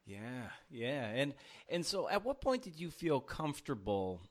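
A man's voice: mid-range voice, big pitch swings, measured pace. A man interviewing a guest in a casual, informal, colloquial way as a podcast host.

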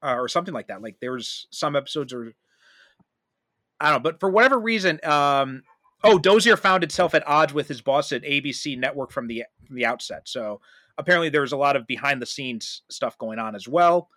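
A man's voice: mid-range voice, expressive, brisk, sharp tone, dry recording. A man hosting a podcast, talking casually in a pop-culture discussion. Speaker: podcast host.

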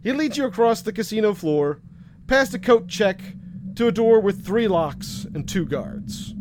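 A man narrating a noir story in the second person as game master, with a wry, deadpan delivery. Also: high-pitched, unhurried, a little hiss or hum.

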